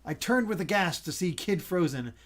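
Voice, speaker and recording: A man's voice: high-pitched, animated, moderate pace, a loud crowd. A man reading visual novel narration aloud like a storyteller, occasionally stumbling over the text. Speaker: gaming video co-host and narrator.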